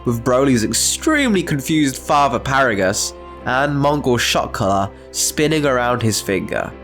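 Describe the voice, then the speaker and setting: A man with a mid-pitched voice narrates a scripted story in a dramatic storytelling style. Faint music runs behind his narration. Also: sing-song, moderate pace, fairly bright tone.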